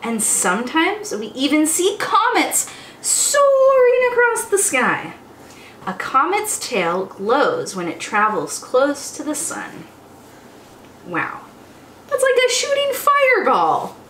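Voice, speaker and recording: A young woman hosting storytime as a teacher, reading aloud to small children in an expressive, exclamatory way. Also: high voice, slow pace. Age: young adult. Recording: roomy echo, faint background noise.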